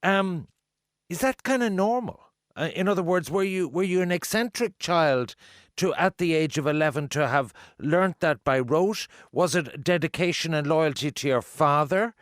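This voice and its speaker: A man's voice: fairly high pitch, normal speed, no echo, clean studio sound. A man with an Irish accent hosting a conversational radio interview, probing in a chatty way with questions piled up.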